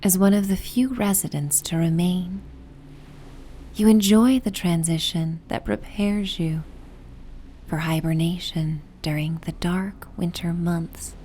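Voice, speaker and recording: A woman narrating a soothing sleep meditation and bedtime story in a gentle, soft, half-whispered, breathy voice. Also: lowish voice, takes it slowly. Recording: steady ocean and wind ambience noise. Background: ocean and wind ambience.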